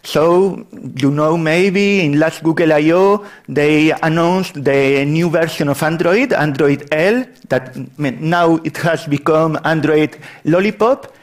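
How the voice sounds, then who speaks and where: A man's voice: on the high side, slow pace, hardly any pauses. A man from Spain with a Spanish accent, giving a technical conference talk in a large hall, often restarting his sentences. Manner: apologetic about his English, frequent restarts. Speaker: conference speaker.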